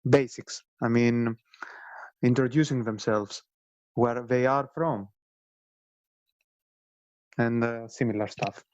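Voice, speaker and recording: A man's voice: medium pitch, steady tone, takes it slowly, long pauses, darkish tone, dry recording. Man over dull call audio. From Greece. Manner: hesitant with frequent "uh". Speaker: English teacher of refugees. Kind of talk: casual answer in Q&A.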